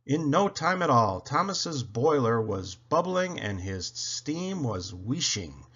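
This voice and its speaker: A man reading a children's story aloud in an expressive, dramatic, deliberate way. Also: medium pitch, husky, lo-fi sound. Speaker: storybook reader.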